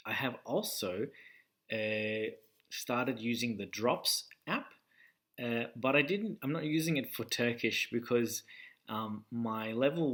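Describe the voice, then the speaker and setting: A man's voice: mid-range voice, a bit bright. Hesitant young man vlogging about languages. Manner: hesitant, many ums and uhs, thinks aloud. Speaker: language learning YouTuber. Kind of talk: casual talking-head vlog.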